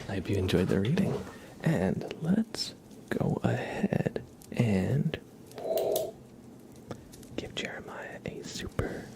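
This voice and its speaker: A man hosting an ASMR livestream, speaking gently and unhurriedly in a breathy whisper with some gravel in it. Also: on the low side, big pitch swings. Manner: soft-spoken, gentle, unhurried. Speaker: ASMR live streamer and host.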